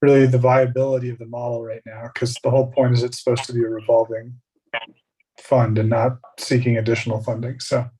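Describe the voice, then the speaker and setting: Man, flat mellow voice, measured and businesslike. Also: medium pitch, slightly rough, low-quality recording. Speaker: committee vice chair. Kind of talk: formal committee remarks.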